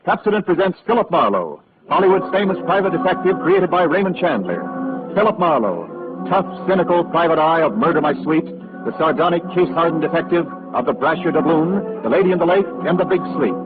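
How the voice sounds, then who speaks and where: A man announcing a 1940s radio program with a booming, formal delivery. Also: lively intonation, fairly quick. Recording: tinny 1940s radio broadcast with music.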